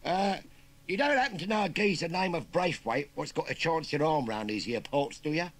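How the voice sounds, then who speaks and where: A man's voice: fairly high pitch, expressive, voice pushed up. A man voicing a cheeky, scruffy boy urchin with a Cockney accent who chatters in rhyming slang. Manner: chirpy, rhyming slang, quick.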